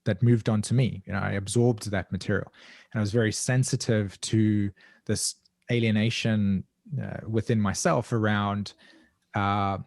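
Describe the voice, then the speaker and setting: A man's voice: on the low side, fairly even intonation, moderate pace, creaky, darkish tone, no echo, studio quality. A man with a South African accent, speaking as an interview guest in a reflective, earnest, introspective way, often searching for his words. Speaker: guest, evolutionary astrologer.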